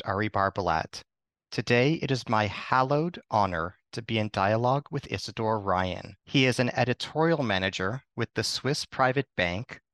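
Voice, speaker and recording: Male host, formal, scripted questions, lowish voice, dry sound. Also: a bit bright, no echo. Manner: formal, reads prepared questions. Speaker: podcast host. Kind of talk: interview questions.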